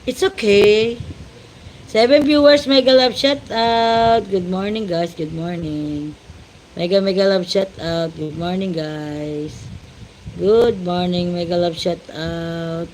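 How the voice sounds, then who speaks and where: Filipino woman hosting a slow live stream. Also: lowish voice, a little hiss or hum. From Philippines. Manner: greets viewers with repeated shout-outs, mixing Tagalog and English. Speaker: livestream host. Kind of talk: casual livestream chat.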